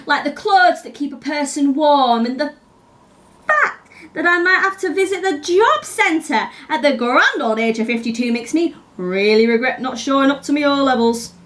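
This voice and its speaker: Heard from a distance in an echoing space, an actress plays a laid-off Yorkshire air hostess in her fifties. She is rueful, sardonic and earthy, delivering a theatrical confession at a slow, deliberate pace and a fairly high pitch. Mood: despairing, bitter. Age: 50s. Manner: wry, confessional, sweary. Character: rueful fifty-two-year-old laid-off flight attendant, sardonic and earthy. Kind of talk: theatrical dramatic monologue. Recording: roomy, distant mic, loud background noise.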